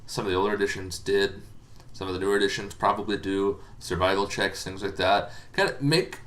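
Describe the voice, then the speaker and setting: A man's voice: lowish voice, bright, forceful tone. A man hosting a casual, informal explainer on tabletop game monsters, talking in a rambling, hesitant way as if thinking aloud.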